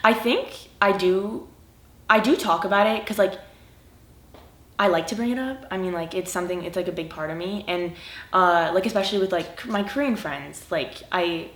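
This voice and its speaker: A woman's voice: fairly low pitch, moderate pace, fairly bright tone, a little hiss or hum. A teenage girl giving a documentary interview. She talks casually with many fillers and often trails off. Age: teens. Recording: echoey room.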